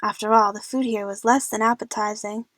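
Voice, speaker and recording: A woman's voice: mid-range voice, fairly even intonation, fairly quick, dry recording. A woman narrating a first-person story as the lead of an audio drama.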